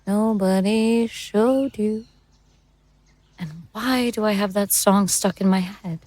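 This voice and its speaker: A woman's voice: mid-range voice, takes it slowly, darkish tone, dry recording. A woman playing a bitter, betrayed and vengeful woman in a horror audio drama. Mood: irritated, confused.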